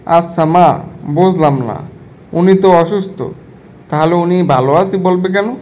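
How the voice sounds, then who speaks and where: A man's voice: on the high side, unhurried, a little mellow, natural voice. A man voicing a naive, foolish young son-in-law in a Bangladeshi Bengali cartoon, with a Bangladeshi Bengali accent. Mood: earnest. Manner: earnest, naive and boastful. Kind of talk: cartoon voice acting.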